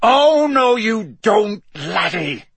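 A man voicing a gruff, menacing old wizard teacher in an audiobook, with a gravelly growl and clipped, barking delivery. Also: mid-range voice, animated, takes it slowly, fairly bright tone, no echo, full character voice. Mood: roaring.

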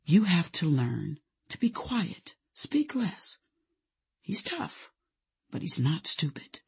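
A woman voicing a calm, wise female movie-star colleague in a memoir, hushed, breathy, gentle and meditative. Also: fairly low pitch, moderate pace, exaggerated voice. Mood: calm, soothing.

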